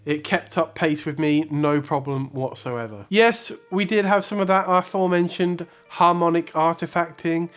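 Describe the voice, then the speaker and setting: A man hosting a review channel, giving a scripted product review with measured, emphatic presenter delivery. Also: high voice. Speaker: host of a guitar pedal review channel.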